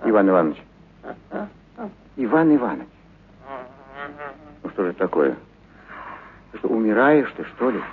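A man's voice: on the low side, big pitch swings, moderate pace, pauses often. An actor playing a kindly, eccentric animal trainer in a radio play, talking theatrically and fondly to his pets. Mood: puzzled, worried. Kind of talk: radio play acting of a short story. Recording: narrow muffled radio sound, noisy background.

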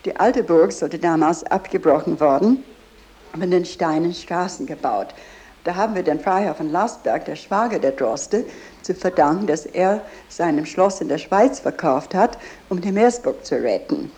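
Low, dark-voiced woman tour guide. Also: animated, moderate pace.

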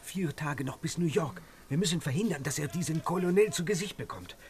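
An actor playing a loyal, eager manservant in an audio drama, speaking earnestly and protectively. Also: male voice, fairly high pitch, fairly quick, a little mellow, natural voice. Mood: earnest, worried. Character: loyal, eager manservant, ready to defend his master.

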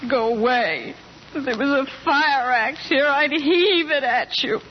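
An actress playing an exasperated small-town banker's wife in a 1940s radio comedy drama. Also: female voice, sits high, lively intonation, unhurried, a little hiss or hum. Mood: indignant. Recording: dull 1940s radio broadcast.

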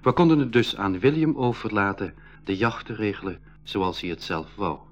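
A man playing a young adventurer who narrates a scripted radio drama in the first person, with a husky voice. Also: fairly low pitch, lively intonation, moderate pace, close to an everyday voice. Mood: reflective. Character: boy adventurer narrating, privately anxious but keen. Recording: dull 1980s radio recording. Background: music.

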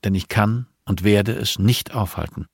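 A man narrator plays an older nun in an audiobook crime story. The voice is slightly husky and close in a dry space, measured and solemn. She is a grieving mother who accuses quietly and speaks in riddles. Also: on the low side, no room sound, exaggerated voice. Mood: resigned, ominous.

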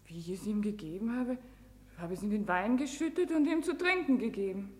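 A woman's voice: medium pitch, animated, fairly quick, a little mellow, close to an everyday voice. An actress in a German radio drama playing a weary young woman on trial, pleading innocence in testimony that swells into emotion. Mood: weary, confessing. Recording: long hall reverb.

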